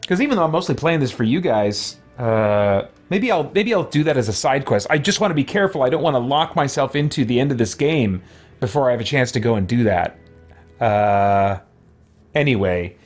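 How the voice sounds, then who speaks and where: A man's voice: mid-range voice, animated, fairly quick. A man hosting a let's play, chatting casually and thinking aloud in a rambling, musing way. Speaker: gaming host. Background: faint game music.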